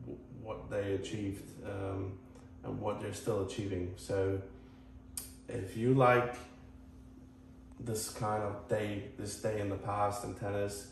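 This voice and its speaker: A man from Croatia with a Croatian accent, talking casually to viewers as a tennis channel host in a halting, earnest, rambling way. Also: fairly low pitch, vocal fry, darkish tone. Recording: echoey, distant mic, faint noise.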